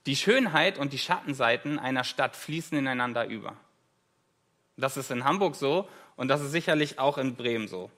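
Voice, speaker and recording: A man's voice: medium pitch, expressive, moderate pace, a bit bright. A German man in his thirties, a guest preacher giving a conversational sermon with light self-deprecating humor, in a church.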